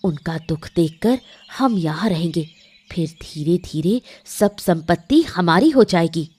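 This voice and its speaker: A woman voicing an emotional, calculating village wife in a Hindi cartoon moral story, with earnest, deliberate dramatic delivery. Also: lowish voice, expressive, darkish tone, breathy edge. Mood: scheming.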